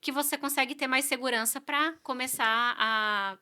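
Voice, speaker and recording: Bright-voiced Brazilian woman, earnest. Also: high-pitched, moderate pace. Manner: earnest, conversational, frequent "né" and "sabe". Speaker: guest, real estate agent. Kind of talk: casual interview talk.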